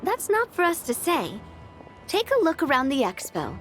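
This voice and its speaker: A woman's voice: very high voice, wildly swooping pitch, normal speed, fairly bright tone, faint music behind, heavily acted. A woman playing a kindly, upbeat young female investigator in a video game, earnest and reassuring. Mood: gentle, reassuring.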